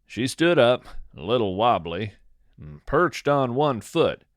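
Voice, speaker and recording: A man narrating a scripted, whimsical nature story in a measured, dramatic storytelling manner with deliberate pauses. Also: mid-range voice, sing-song, dry recording, clean studio sound. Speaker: podcast host and narrator.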